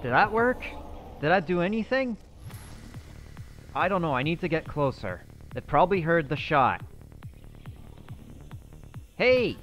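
Guy roleplaying a game character, slow-talking but jumpy, bright voice swinging up and down. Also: on the high side, muffled recording, faint music behind. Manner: excitable, thinks aloud to himself.

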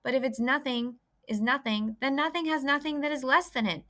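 A woman's voice: high voice, brisk, fairly bright tone, voice pushed up. A woman doing a lonely young pig boy. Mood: earnest arguing. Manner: whiny, pleading. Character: young lonely male pig, plaintive and earnest.

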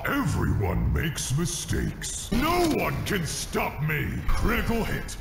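Gravelly, confident scientist gorilla. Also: male voice, high voice, wildly swooping pitch, slow pace, heavily acted. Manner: bold, exuberant. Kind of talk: video game hero voice lines. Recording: echoey, loud game music behind.

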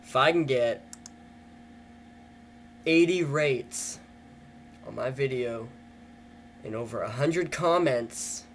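A man's voice: mid-range voice, slow pace, pauses often, slight background noise. Joking teenage boy on a webcam. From Canada. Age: teens. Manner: rambling, self-mocking, jokey. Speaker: vlogger. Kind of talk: casual joking webcam vlog. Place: small wood-paneled room, indoors. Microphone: webcam at desk.

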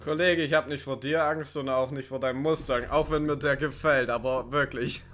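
Bright-voiced man gaming. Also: medium pitch, fairly even intonation, normal speed, slight background noise. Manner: rambling, sweary, joking to himself. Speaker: gaming video commentator. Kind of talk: casual let's play commentary.

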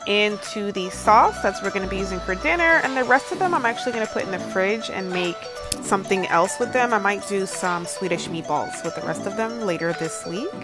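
A woman's voice: mid-range voice, expressive, fairly quick, music in the background. A young American woman talking casually and informally as she narrates a home cooking demonstration. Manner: chatty, informal. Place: home kitchen, indoors.

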